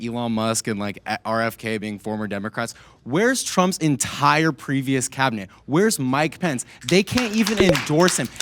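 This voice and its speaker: A young man arguing rapidly in a heated political debate, cutting himself off and correcting himself as he goes. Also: medium pitch, noisy background. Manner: rapid, argumentative, cuts himself off.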